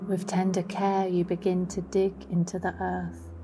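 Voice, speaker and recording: A woman narrating a scripted guided meditation in a deliberate storytelling manner. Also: on the low side, completely flat delivery, background noise. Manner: slow, deliberate storytelling, at times dropping into whispers. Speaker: guide of a meditative sound journey. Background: music.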